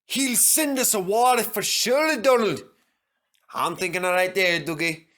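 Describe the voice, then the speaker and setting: A man voicing an excitable, earnest and blunt twin steam engine in a children's story. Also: high-pitched, normal speed, voice pushed up. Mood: worried.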